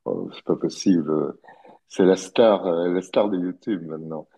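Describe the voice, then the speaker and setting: A man's voice: low-pitched, a little slow, gravelly, warm tone, breathy edge. A man hosting a casual Sunday online debate, introducing his guests and asking them questions. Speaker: host. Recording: dull lo-fi audio.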